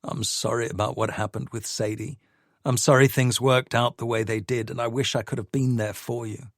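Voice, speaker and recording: A man's voice: mid-range voice, little pitch movement, moderate pace, dry recording, lightly acted. A man voicing an anguished father who pleads earnestly, in a dry audiobook reading. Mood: pleading.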